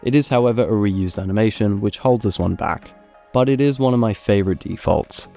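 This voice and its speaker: A man narrating scripted gaming ranking commentary in a measured, opinionated, critical way. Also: fairly low pitch, mellow. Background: background music.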